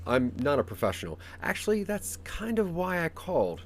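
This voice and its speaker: A man's voice: fairly high pitch, huge pitch swings, normal speed, slight background noise, pitched above the performer's own voice. A man playing a polite, tentative amateur engineer in dialogue in an audio drama. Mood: eager, modest. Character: Mark, polite self-made engineer, tentative and eager.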